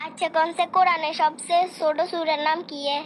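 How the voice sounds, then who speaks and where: A woman's voice: extremely high pitch, level tone, quick pace, a little hiss or hum. A woman with a Noakhali Bengali accent voicing a nosy, haughty young sister in a comic cartoon. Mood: testing. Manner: interrogating, haughty. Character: nosy, snobbish young sister grilling a prospective bride.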